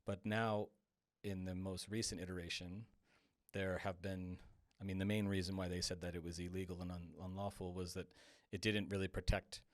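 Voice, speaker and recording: A man's voice: sits low, level tone, moderate pace, no echo, studio quality. A man hosting a podcast interview, laying out the background before asking the guest a question. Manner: explaining, then asking. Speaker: podcast host.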